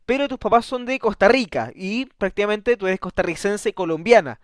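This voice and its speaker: High-voiced chatty male radio host. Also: animated, moderate pace, dry recording, studio quality. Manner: chatty, stumbles and restarts, tag questions. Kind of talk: casual interview.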